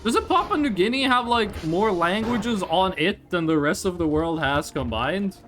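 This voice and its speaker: Male game streamer, native Norwegian speaker. High register, slow delivery, rambling off-the-cuff chat with casual swearing. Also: animated, a bit bright, faint music behind. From Norway. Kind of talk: casual livestream chat over gameplay.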